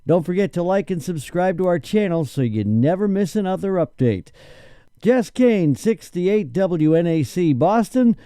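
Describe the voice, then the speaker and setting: A male announcer reads a scripted promo in a high-pitched voice. The tone is very warm and dark, with a smooth, clean finish. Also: sing-song, moderate pace, dry recording, clean studio sound. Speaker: station archive announcer.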